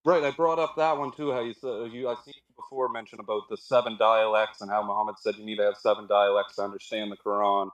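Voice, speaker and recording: A man's voice: fairly low pitch, steady tone, fast talker, few pauses, poor audio. A male caller on a live stream chatting casually and loosely. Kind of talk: casual call-in chat.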